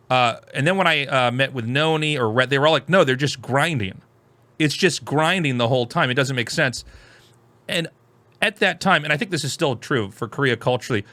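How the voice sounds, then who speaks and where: Bright-voiced American man, casual commentary. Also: mid-range voice, dry recording. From United States. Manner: animated, restarts often, exclaims loudly. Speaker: host reacting to a video.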